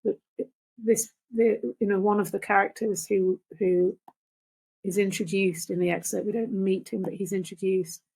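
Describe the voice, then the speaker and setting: An older woman, a podcast guest, talking reflectively and earnestly in deliberate phrases. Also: medium pitch, steady tone, vocal fry, a little mellow, breathy edge, poor audio. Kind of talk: reflective conversational talk.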